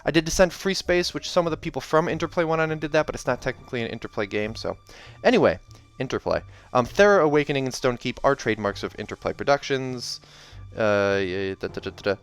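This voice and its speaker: A man with a smooth, clear voice and lively, swinging intonation hosts a casual read-aloud. He talks in a chatty, self-correcting way. Soft music plays behind him. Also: medium pitch, quick pace. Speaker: host of a reading series. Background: soundtrack music.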